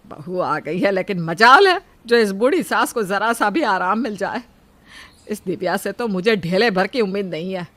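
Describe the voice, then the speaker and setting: A woman's voice: medium pitch, lively intonation, normal speed, fairly bright tone, no room sound, natural voice. A woman playing a complaining, scheming elderly Indian mother-in-law in a cartoon story, with dramatic delivery. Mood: resentful, hopeful. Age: older. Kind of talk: acted cartoon story dialogue.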